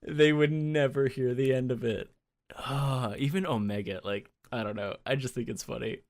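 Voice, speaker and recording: A man's voice: mid-range voice, steady tone, moderate pace. A man co-hosting a gaming podcast, chatting casually and breaking into laughter often. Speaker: podcast co-host.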